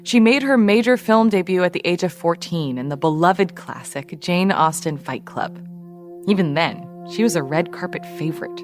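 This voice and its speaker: An actress playing a wry, nostalgic radio journalist, narrating a scripted audio drama podcast. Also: female voice, lowish voice, expressive, moderate pace, faint music behind, close to an everyday voice.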